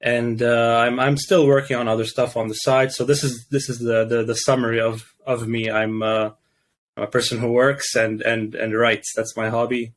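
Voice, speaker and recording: A young man from Canada talks in a relaxed interview. He speaks in a middle register, stopping and restarting often as he gathers his thoughts, with frequent fillers. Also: medium pitch, moderate pace. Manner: hesitant, many restarts and fillers. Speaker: guest. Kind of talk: casual interview chat.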